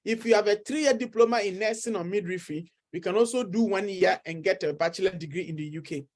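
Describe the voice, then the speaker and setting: A man's voice: sits high, fast. A middle-aged man with a Ghanaian accent, a study-abroad consultant earnestly encouraging viewers and repeating his key points. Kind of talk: persuasive talk to camera.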